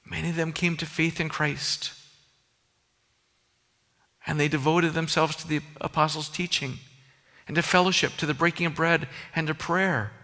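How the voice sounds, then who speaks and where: Pastor, male, Minnesota accent, bright and slightly high voice, earnest conversational sermon, reverberant hall. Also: steady tone, moderate pace, long pauses. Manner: earnest, conversational preaching with personal asides.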